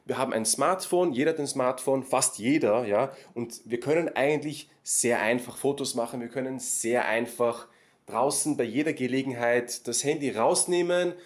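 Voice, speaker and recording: Man, language teacher, calm voice-over, rephrases everything, medium pitch, acts out example questions. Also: normal speed. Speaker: German teacher. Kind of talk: slow explanatory lesson for learners.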